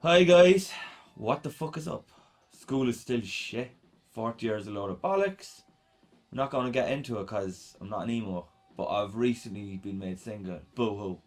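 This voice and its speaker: A man's voice: fairly low pitch, lively intonation, moderate pace, soft background music. An Irish man playing a sardonic, slangy teenage punk boy reading out his zine in a radio play. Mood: flippant, bitter. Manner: irreverent, slangy, sweary.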